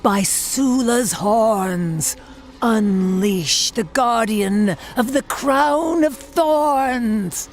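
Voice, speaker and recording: A woman's voice: on the high side, faint music behind. A woman playing a solemn occult sorceress in a video game, intoning a summoning spell. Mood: commanding. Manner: slow, incantatory. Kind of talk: video game ritual chant.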